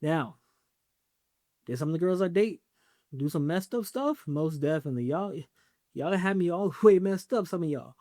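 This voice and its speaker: A man's voice: fairly high pitch, animated, moderate pace, long pauses, dark tone, no echo. Joking young man on a podcast, dry sound. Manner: loose, joking, lots of fillers and slang. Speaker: podcast host. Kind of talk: casual solo podcast talk.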